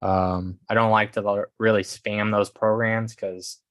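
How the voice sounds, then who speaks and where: Low-pitched man, fairly even, casual livestream host. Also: a little slow, dry recording. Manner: rambling, often tags sentences with "okay" and "guys". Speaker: host of a business coaching livestream.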